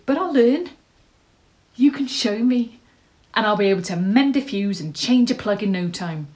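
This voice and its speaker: A woman's voice: mid-range voice, a little mellow, lightly acted. An actress performing a dramatic monologue as a nervous, tender woman, earnest, hesitant and self-deprecating. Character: nervous, tender, rambling woman proposing marriage.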